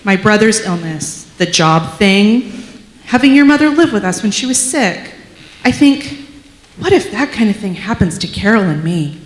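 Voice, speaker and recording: A woman's voice: medium pitch, expressive, normal speed, close to an everyday voice. A woman acting a cheerful, teasing adult daughter in a live comedic dramatic reading, chatty and keen to make peace. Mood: earnest. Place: church sanctuary, live performance. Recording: echoey live room.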